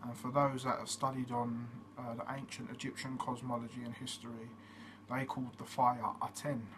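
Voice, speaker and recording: Flat-voiced man, noisy recording. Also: medium pitch, normal speed. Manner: steady, plainly explaining, with frequent fillers. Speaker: channel host. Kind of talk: casual explanatory monologue. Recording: noticeable background noise.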